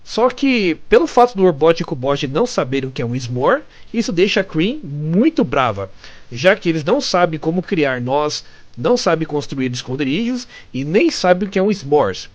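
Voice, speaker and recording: A man's voice: on the high side, sing-song, normal speed. A Brazilian man narrating a comic-story recap in a conversational, chatty way with amused asides. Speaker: narrator and fan translator of comic stories.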